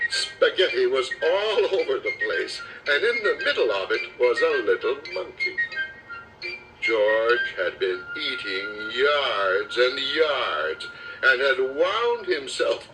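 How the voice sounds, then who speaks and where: Male children's audiobook narrator, slow and lively, dramatic storytelling style, slightly distant cassette sound. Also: medium pitch, over music. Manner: expressive, dramatic storytelling delivery. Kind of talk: children's storybook read-aloud.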